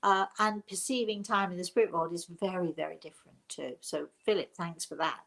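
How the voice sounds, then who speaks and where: Older woman, halting live-stream host. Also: fairly low pitch, gravelly, fairly bright tone. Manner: halting, often repeats words and restarts. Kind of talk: casual live-stream talk answering viewer comments.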